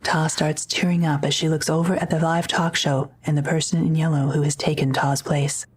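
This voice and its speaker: A woman's voice: low-pitched, flat delivery, normal speed, slightly rough. A woman reading scripted narration for an audio drama as its narrator. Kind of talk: scripted narration, present tense.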